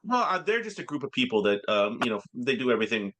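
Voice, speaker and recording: A man co-hosting a comedy podcast, telling rambling stories in halting bursts full of filler sounds. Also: mid-range voice, big pitch swings, a bit bright. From Canada. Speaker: podcast co-host. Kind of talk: casual comedic chat.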